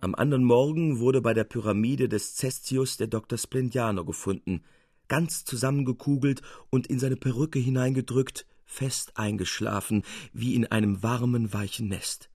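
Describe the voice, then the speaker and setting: Male narrator, standard German, lively storytelling of classic fiction, close and dry recording. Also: mid-range voice, normal speed, breathy edge, dry recording. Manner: expressive, acting out dialogue. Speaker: audiobook narrator. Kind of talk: dramatic literary narration. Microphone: close studio microphone.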